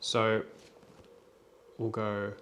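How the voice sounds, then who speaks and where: A man presenting an unscripted software tutorial in a plain, step-by-step instructional way. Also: on the low side, monotone, drawn-out words, a little hiss or hum.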